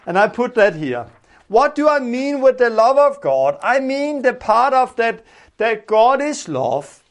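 A man's voice: extremely high pitch, sing-song, measured pace. A man from Denmark with a Danish accent, a native Danish speaker, preaching in simple explanatory English and repeating phrases for emphasis. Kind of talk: informal teaching sermon with prayer.

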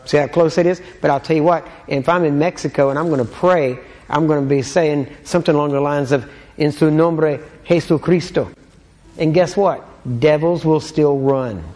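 A man's voice: fairly high pitch, darkish tone, long reverb tail. A man with a Texan accent preaching in a casual, folksy teaching style, full of joking asides and checks like "all right?". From Texas.

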